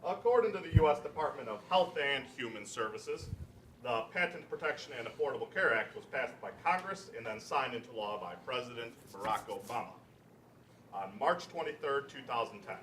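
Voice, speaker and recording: A man's voice: mid-range voice, animated, fairly quick, fairly bright tone. A young man reading scripted narration aloud as a narrator at a staged reading. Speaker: narrator of a readers theater event. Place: indoor college event space. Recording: echoey, noisy room, distant mic.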